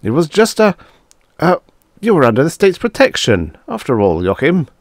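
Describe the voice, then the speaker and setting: A man's voice: a little high, wildly swooping pitch, moderate pace, no echo, clean studio sound, pitched above the performer's own voice. A man voicing a stout, jovial older male professor who talks in a hearty, overbearing way, chuckling and pushing his point. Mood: insistent.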